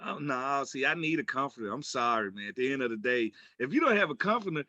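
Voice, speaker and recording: A man's voice: mid-range voice, sing-song, fast, sharp tone, dry recording, dull audio. A man in his forties co-hosting a sports podcast with casual, colloquial, joking banter and strong opinions. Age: 40s.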